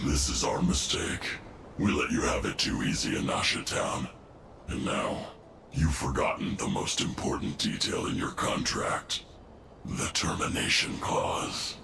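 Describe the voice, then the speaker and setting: An actor voicing a scheming male villain in a video game, mocking and menacing in a gravelly voice. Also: very animated, takes it slowly, reverberant, noisy background, exaggerated voice. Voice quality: gravelly, deep. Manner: taunting, smug.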